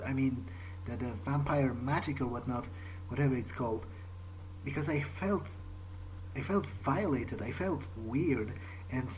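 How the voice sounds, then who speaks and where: A man playing an uneasy vampire newcomer in an in-character game playthrough, thinking aloud in a halting, hesitant way. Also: medium pitch, darkish tone, a little hiss or hum, lightly acted, poor audio. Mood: uneasy, conflicted. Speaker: let's player. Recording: music behind the voice. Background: game soundtrack music.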